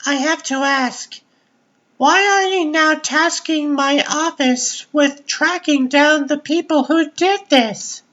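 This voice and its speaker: A woman plays a bold young daughter in a dramatic comic dub. Her voice is high and unhurried, with a persistent, questioning manner. The recording is slightly muffled. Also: a bit bright. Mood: probing.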